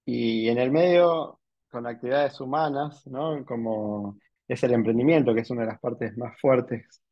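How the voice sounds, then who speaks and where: A man with an Argentine accent hosts a relaxed podcast interview, thinking out loud before his questions. His voice sits at a middle pitch. The recording sounds somewhat dull and lo-fi. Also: measured pace. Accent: Rioplatense Spanish. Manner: musing, rambling questions with many "¿no?". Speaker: podcast host. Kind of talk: casual interview.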